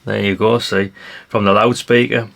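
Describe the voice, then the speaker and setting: A man's voice: fairly low pitch, level tone, normal speed, gravelly, fairly bright tone. A man giving a casual hands-on demonstration of gadgets in an offhand, matter-of-fact way, often tagging his remarks with questions. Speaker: vlogger reviewing vintage radios.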